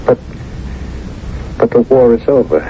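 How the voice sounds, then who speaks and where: A man's voice: mid-range voice, lively intonation, measured pace, close to an everyday voice. An actor playing an anguished, fearful ordinary man who survived a war, agitated and hesitant, in 1970s radio drama style. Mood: desperate, defensive. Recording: muffled, noisy old radio broadcast.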